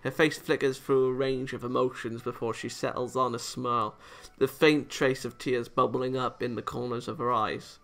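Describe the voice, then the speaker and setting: A man's voice: mid-range voice, flat delivery, normal speed, a bit bright. A man reading a visual novel aloud as a let's player, stumbling over lines and reacting playfully with casual asides. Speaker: video game let's player.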